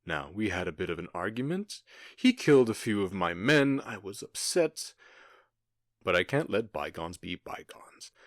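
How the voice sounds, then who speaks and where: Casting: menacing male gang boss played by a man for ASMR roleplay, low and unhurried, smug, taunting, very dry close sound. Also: vocal fry, dry recording, exaggerated voice. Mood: cold, threatening. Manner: smug, taunting, drawn-out storytelling.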